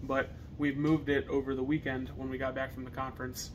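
A young man with an American accent chatting casually to camera as a reseller, with halting phrasing. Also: mid-range voice, completely flat delivery, highs cut off. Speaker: reseller co-hosting a video channel. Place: partially finished basement, indoors. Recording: noisy basement room recording.

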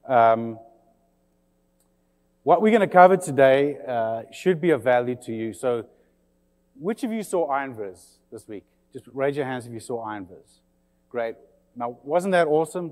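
A man with a South African accent, slightly worn by years in England, presents a conference session in a friendly, chatty way. His voice sits at a middle pitch and rings with the long reverb of a hall. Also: a little mellow. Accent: South African, slightly English-tinged. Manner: friendly, chatty, with many fillers. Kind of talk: conference talk to an audience.

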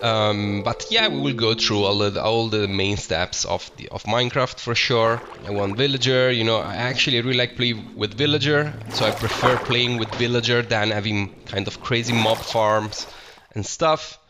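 A man's voice: on the low side, normal speed, hardly any pauses, noisy background. A young adult man from Italy with an Italian accent, chatting casually and enthusiastically as he narrates his Minecraft gameplay, often restarting his phrases. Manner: chatty, self-correcting, enthusiastic about the game. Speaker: Minecraft let's play host. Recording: slightly dull lo-fi audio with music behind.

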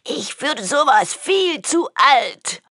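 Old wise chieftess for a video game, raspy n kinda high, super slow broken phrases, solemn. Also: female voice, sing-song, pushed and bright, no echo, studio quality, full character voice. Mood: grumbling. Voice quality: husky, aged rasp. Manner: slow, broken clipped phrasing, ominous storyteller. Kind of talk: video game character acting.

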